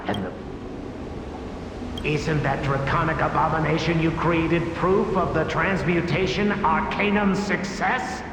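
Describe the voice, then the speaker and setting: Slow, echoing ghostly old elder. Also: male voice, on the high side, noisy background. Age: older. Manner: grave, archaic, slow declamation. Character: proud, accusing old male elder, a ghostly echo. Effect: heavy ghostly reverb. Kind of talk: video game voice acting. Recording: long echoing reverb, loud music behind.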